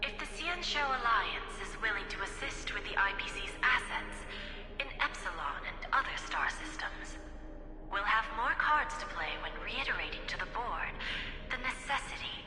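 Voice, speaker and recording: A poised, calculating businesswoman voiced by a woman in a game cutscene. Her voice is breathy, smooth and fairly high, with measured courtesy. It rings with long reverb over clear background music. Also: animated, close to an everyday voice. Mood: courteous, persuasive. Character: poised, silky, calculating female corporate executive. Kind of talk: video game cutscene acting. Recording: echoey hall reverb, game music behind.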